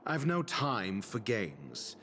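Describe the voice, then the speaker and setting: A man's voice: fairly low pitch, very animated, takes it slowly, pushed and bright, slight background noise, heavily acted. A man voicing a serious, curt, impatient companion with a dry wit in a video game. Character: stern, impatient, dry-witted male companion.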